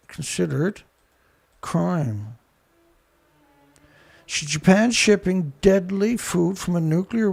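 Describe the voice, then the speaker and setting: A man hosting a ranting commentary show, with a rough voice, blunt, angry and swearing. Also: sits high, darkish tone. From Canada. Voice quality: rough, gravelly voice. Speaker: show host.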